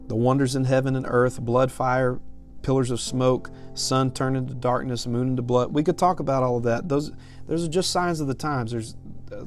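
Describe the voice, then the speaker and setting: A man in his thirties speaks as a podcast preacher over plucked guitar music. His voice sits at a medium pitch. He teaches in a conversational way, stressing points firmly and often repeating a phrase before finishing it. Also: a little mellow. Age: 30s.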